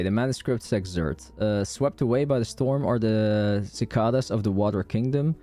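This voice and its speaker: A man's voice: mid-range voice, dark tone. A man streaming a game playthrough, commenting in an offhand, wry way.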